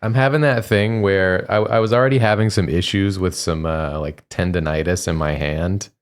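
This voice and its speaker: Sleepy guy on a game stream, jokey and rambly, kinda low voice. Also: moderate pace, smooth. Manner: joking, rambling with restarts. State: tired. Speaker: co-host of a gaming stream. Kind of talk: casual stream chat.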